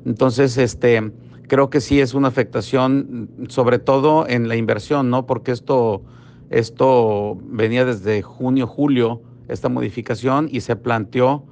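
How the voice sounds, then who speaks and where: Middle-aged guy on a phone call, gravelly, lots of ehs and restarts, faint hum. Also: mid-range voice, fairly even intonation, a little slow, a little mellow. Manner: hesitant, many fillers and restarts. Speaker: restaurant industry representative. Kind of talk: phone interview. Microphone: phone line.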